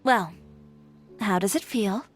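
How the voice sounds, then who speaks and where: A woman's voice: medium pitch, slow pace, lightly acted. An actress playing an assertive, composed young woman fashion designer in a video game, with a confident, dry way of talking. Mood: calm, reflective. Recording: clean studio voice over game music.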